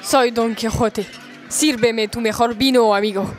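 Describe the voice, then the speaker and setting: A woman's voice: on the high side, fairly even intonation, moderate pace, faint music behind, lightly acted. A woman playing Don Quixote, a lovelorn, idealistic would-be knight in an audio drama. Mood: grandly confident. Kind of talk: audio drama acting in Spanish.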